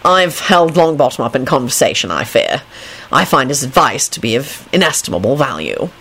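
A man's voice: a little high, big pitch swings, fast, a bit bright. A woman voicing a stern, sardonic male government minister in an audiobook-style story, formal and imperious. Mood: grave, impatient. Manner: formal, sarcastic, clipped commands.

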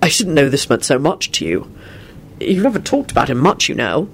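A woman voicing a gruff, sardonic, foul-mouthed man in a story, with a dry, impassive manner. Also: sits low, big pitch swings, fairly quick, slight background noise, exaggerated voice. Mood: uncomfortable.

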